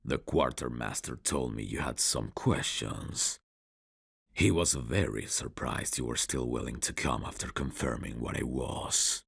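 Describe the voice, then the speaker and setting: Very deep, gravelly vampire count. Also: male voice, a bit bright, no room sound, clean studio sound, heavily acted. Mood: intimate, intrigued. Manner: slow, courtly, old-fashioned, intimate phrasing. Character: suave male vampire count, courtly, seductive, quietly menacing. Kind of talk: ASMR roleplay acting. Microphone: close dry studio mic.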